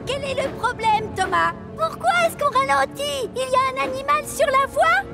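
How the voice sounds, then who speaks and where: A woman's voice: very high voice, moderate pace. A woman playing an anxious, fussy talking railway coach in a French children's cartoon dub. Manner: fretful, exclaiming, questioning. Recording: distant, loud music behind.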